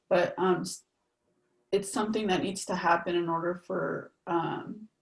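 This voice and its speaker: Heard from a little way off in an echoing room, a woman researcher comments during a panel. Her voice is rather low and creaky, and she speaks in measured, halting phrases. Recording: roomy, distant microphone.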